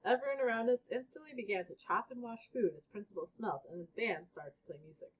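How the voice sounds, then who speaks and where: A woman with a husky voice reading a children's book aloud as a storybook reader. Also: on the high side, lively intonation, quick pace. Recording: dull, lo-fi recording.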